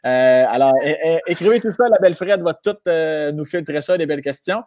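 A man's voice: on the high side, brisk, a little mellow. A man with a Québécois French accent hosting a live interview, joking and playful in casual talk. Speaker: host of a live interview show.

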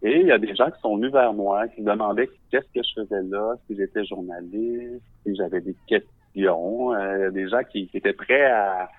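A man with a Québécois accent and a fairly low voice speaks in a reflective, measured way, pausing often to find his words. He is a visual artist telling his story for a podcast. The sound is narrow and phone-like, dry and close, with no room around it. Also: no room sound. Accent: Québécois French. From Quebec.

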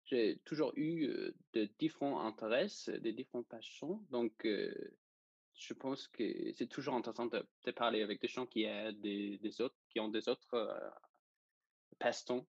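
Halting young Danish-accented man, slow-paced. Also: on the low side, expressive, darkish tone, husky, no echo, lo-fi sound. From Denmark. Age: young adult. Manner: halting, searching for words in a second language. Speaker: interview guest, journalism student. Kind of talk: casual interview.

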